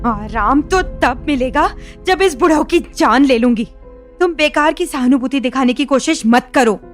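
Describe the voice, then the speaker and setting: An actress playing a frightened, evasive young wife with hidden bitterness, guarded and defensive, in an audio drama. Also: female voice, high-pitched, a little slow, faint music behind. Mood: bitter.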